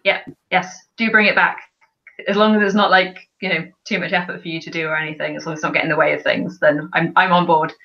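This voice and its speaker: Woman, bright forceful voice, chatty, dull lo-fi stream audio. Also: on the low side, fairly even intonation, fast. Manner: chatty, laughs often. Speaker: livestream host. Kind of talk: casual group chat.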